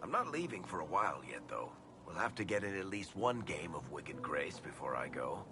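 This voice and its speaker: Very deep, gravelly, wry storyteller. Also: male voice, big pitch swings, moderate pace, noisy background. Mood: relaxed, sincere. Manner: wry, conversational. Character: wry, laid-back adventurer and storyteller, male companion. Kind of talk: video game character dialogue. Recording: music under the voice.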